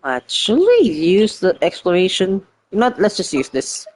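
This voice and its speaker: A man's voice: high-pitched, huge pitch swings, a little slow, poor audio. A man giving casual, hesitant gameplay commentary as a streamer, rambling and swearing freely over game music. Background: faint game music.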